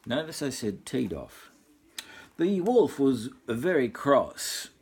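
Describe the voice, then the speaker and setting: A man's voice: medium pitch, takes it slowly, breathy edge. Older Australian man reading a bedtime story. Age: 60s or older. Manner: jokey, rambling, repeats himself with deadpan asides. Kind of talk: informal storybook reading with chatty asides.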